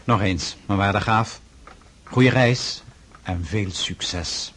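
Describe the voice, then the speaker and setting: An actor playing a solemn, fatherly freemason mentor in a radio drama, speaking in a measured, formal, deliberate way. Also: fairly low pitch, fairly bright tone, heavily acted. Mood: kindly farewell.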